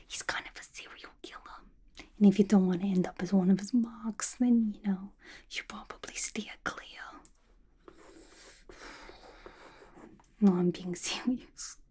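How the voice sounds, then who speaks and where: A woman voicing a playful, gossipy villain locked in an asylum, in a breathy, chatty, confiding ASMR roleplay. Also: medium pitch, fairly even intonation, moderate pace, dark tone, slight background noise, close to an everyday voice. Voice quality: breathy, whispery. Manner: chatty, confiding, casual slangy talk.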